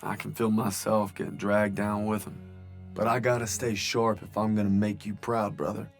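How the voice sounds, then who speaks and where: A man's voice: lowish voice, little pitch movement, normal speed, close to an everyday voice. A man playing an earnest, dutiful young American corporal in a World War Two video game cutscene. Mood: somber, resolute. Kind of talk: video game cutscene acting and reflective narration. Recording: game soundtrack music behind.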